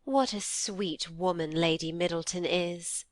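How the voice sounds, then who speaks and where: A woman reading a story, voicing a sly, flattering young woman with a smooth manner. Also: on the low side, measured pace, no echo, close to an everyday voice.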